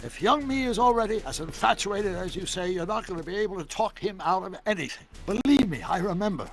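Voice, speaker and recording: An actor playing an excitable, eccentric older scientist in a video game, gravelly and theatrical, with wordy, emphatic delivery. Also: male voice, high voice, fairly quick. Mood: brisk, knowing. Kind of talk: animated video game voice acting. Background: music.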